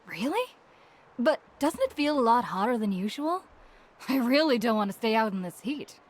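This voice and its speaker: Chatty forgetful game villager. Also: female voice, fairly high pitch, big pitch swings, moderate pace. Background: game music.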